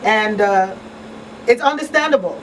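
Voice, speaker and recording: A middle-aged woman hosts a talk show in an earnest, conversational way, repeating phrases to drive them home. Her voice sits at an average pitch. She sounds a little away from the microphone, with faint room noise behind her. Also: expressive, measured pace, far from the mic. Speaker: radio talk show host. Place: small radio studio. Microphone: boom-arm studio microphone.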